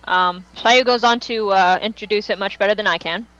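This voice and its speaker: A woman's voice: mid-range voice, brisk, a bit bright, faint music behind. A woman co-hosting a fan podcast in casual, enthusiastic and opinionated chat. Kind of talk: casual chat, reacting to a music video. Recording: dull lo-fi voice chat audio.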